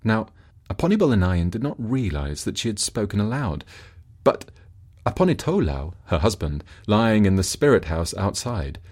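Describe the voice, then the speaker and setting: Husky male storyteller with swooping intonation. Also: lowish voice, very animated, normal speed, darkish tone. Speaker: storybook narrator. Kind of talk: scripted fable narration.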